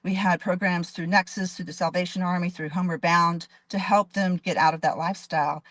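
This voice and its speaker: Woman judge in her fifties, low-ish voice, earnest and thorough, dry close mic. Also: steady tone, brisk, fairly bright tone, dry recording. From Dallas, Texas. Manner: earnest, detailed, frequent restarts. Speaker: judge and candidate. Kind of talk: interview answers.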